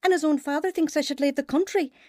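A woman's voice: high-pitched, fast, warm tone, dry recording, clean studio sound, natural voice. A woman playing a vulnerable, earnest young wife and mother in a radio drama. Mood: troubled, earnest. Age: young adult. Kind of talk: radio drama acting and first-person narration.

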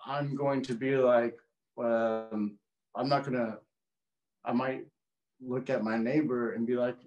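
A man's voice: mid-range voice, darkish tone, poor audio. Earnest man telling his story. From Houston, Texas. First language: Vietnamese. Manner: earnest, with frequent "you know" fillers. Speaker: oral history interviewee. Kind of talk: reflective personal storytelling. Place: home room, indoors.

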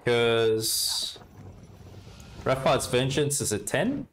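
A young Australian man casually commenting on his card game and chatting with viewers, relaxed and talkative. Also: mid-range voice, extremely slow, slight background noise. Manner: relaxed, chatty, talks to chat while playing. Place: indoor room at a desk.

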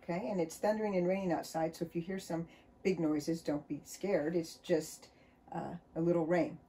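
A middle-aged woman from the United States, a children's author, chatting and reading a storybook aloud in her book-filled home studio with a cheerful, expressive storytime manner. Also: on the low side, normal speed. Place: home studio full of books, indoors.

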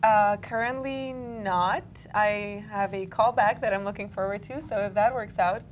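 A woman's voice: mid-range voice, measured pace, slight background noise. A woman in her thirties, a guest actress, reciting a poem on a TV studio stage and then chatting at a table. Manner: dramatic reading then relaxed replies. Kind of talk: poetry recitation and casual chat.

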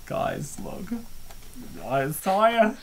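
A man hosting a comic dub, giving joking, theatrical and excitable commentary and reading comments aloud. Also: high-pitched, very slow, crowd noise.

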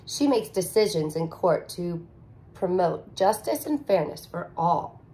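A woman teacher reads a children's picture book at an unhurried pace. Her pitch rises and falls a lot in a sing-song storybook style, with soft little asides between pages. She sounds close to the camera in a living room. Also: animated, low-quality recording. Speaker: teacher reading aloud. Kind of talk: picture book read-aloud for young children. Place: living room with couch, indoors.

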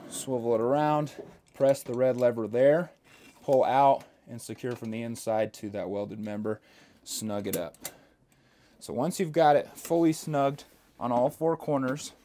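A young man walks through a hands-on equipment demonstration, speaking at a middle pitch in a steady, practical way with the odd "um" and "uh." He talks as he works inside a small parked bus, close to a small mic. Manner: steady step-by-step instruction with fillers.

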